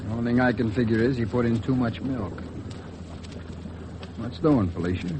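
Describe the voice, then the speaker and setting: A man's voice: mid-range voice, moderate pace, lightly acted. An actor playing a sardonic, hungover American man with a wry, grumbling delivery in a 1950s radio drama. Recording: noisy vintage 1950s radio recording.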